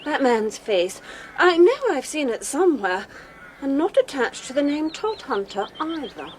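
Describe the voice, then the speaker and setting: A prim, nosy English spinster in a radio play. Her voice is high and very animated, with faint noise behind it. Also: measured pace. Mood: musing, suspicious. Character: literal-minded, inquisitive English spinster sister. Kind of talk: radio drama acting.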